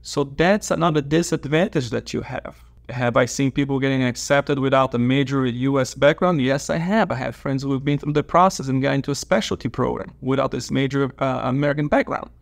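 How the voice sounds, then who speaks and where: A man's voice: mid-range voice, a little fast, gravelly. Brazilian man explaining with hand gestures. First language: Portuguese. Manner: explains with open hand gestures, repeats key points. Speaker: dentist and educator. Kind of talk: advice talk to camera. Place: small office, seated at a desk. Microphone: lapel mic.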